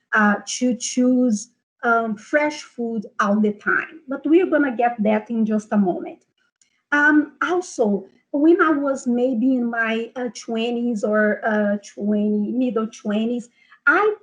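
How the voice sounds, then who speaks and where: A woman's voice: fairly high pitch, dull audio. A middle-aged woman with a Brazilian Portuguese accent, presenting an informal health seminar and speaking directly to her audience. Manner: frequent fillers and restarts, speaks directly to the audience.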